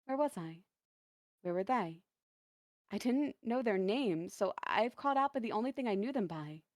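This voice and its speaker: A woman reading a first-person dramatic story as a lonely, self-doubting dancer lost in a forest, earnest and halting. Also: medium pitch, animated, darkish tone, dry recording, studio quality, lightly acted. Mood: lost, sad.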